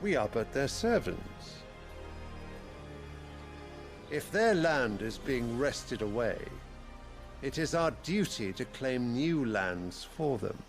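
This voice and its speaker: A man's voice: mid-range voice, big pitch swings, takes it slowly. A man playing a scornful, imperious fantasy emperor in a video game, speaking in formal, archaic oratory. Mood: stern, resolute. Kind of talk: theatrical fantasy game acting. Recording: orchestral music behind.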